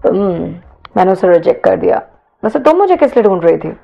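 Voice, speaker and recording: A woman voicing a calm, reserved young male college student in an audio story, with an understated delivery. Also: medium pitch, a little fast, creaky, dull audio. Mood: calm, guarded. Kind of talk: audio story character dialogue.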